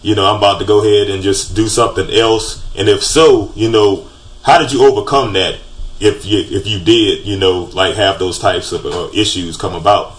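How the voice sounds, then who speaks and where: A man hosting a casual call-in show, talking loudly in laid-back slang. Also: fairly low pitch, fairly even intonation, moderate pace, rough recording. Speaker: show host.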